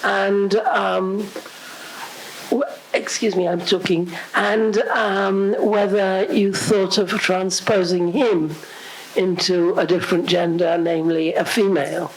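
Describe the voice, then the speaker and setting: A woman in the audience asking a question, her voice going hoarse, pausing often and clearing her throat. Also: medium pitch, fairly even intonation, slight background noise, low-quality recording.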